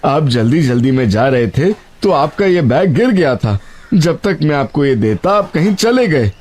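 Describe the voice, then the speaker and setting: An actor voicing a humble, honest poor labourer in an animated moral story, speaking in an earnest, deferential and emotive way. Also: male voice, a little high, a little slow. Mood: earnest, pleading.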